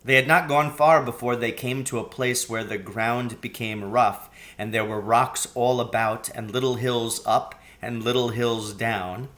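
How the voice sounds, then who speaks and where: A man's voice: mid-range voice, fairly even intonation, unhurried, hardly any pauses, a bit bright. A man reading a children's story aloud as scripted narration. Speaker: storybook reader.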